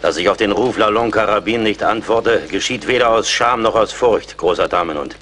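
A fearless, low-voiced frontier scout. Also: male voice, brisk, fairly bright tone, a little hiss or hum. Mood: defiant, calm. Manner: formal, steadfast. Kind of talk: German radio play acting.

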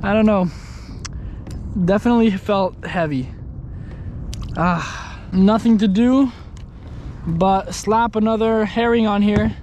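A young man with a high, bright-pitched voice talks casually while fishing from a kayak, often bursting into excited exclamations. He is recorded outdoors by a camera mounted on the boat, with a faint hiss of wind and water behind him. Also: slow, pauses often. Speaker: kayak angler. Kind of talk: casual fishing vlog commentary. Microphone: action camera mounted on the kayak.

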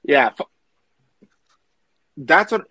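A man's voice: on the high side, animated, extremely slow, sharp tone, breathy edge, dry recording. Young man chatting, dry close sound. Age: young adult. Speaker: podcast guest, comics content creator. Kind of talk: casual chat.

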